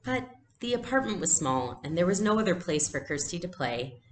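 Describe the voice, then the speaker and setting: A woman's voice: on the low side, sing-song, moderate pace. A woman reading a storybook aloud expressively, like a teacher, in an indoor room. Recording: echoey room, faint background noise.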